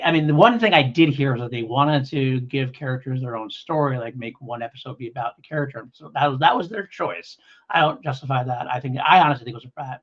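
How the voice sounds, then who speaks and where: Husky man chatting casually on a podcast. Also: medium pitch. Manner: rambling, hedging, conversational. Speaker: podcast co-host. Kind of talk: casual podcast review chat. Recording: dull, lo-fi podcast audio.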